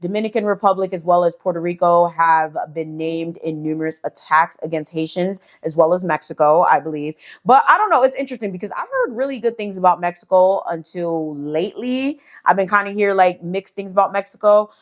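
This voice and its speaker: Young american woman ranting to camera, swears a lot, fairly low voice. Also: fairly quick, few pauses. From United States. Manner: blunt, heated and profane, with rhetorical questions. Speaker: vlogger. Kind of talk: casual commentary to camera.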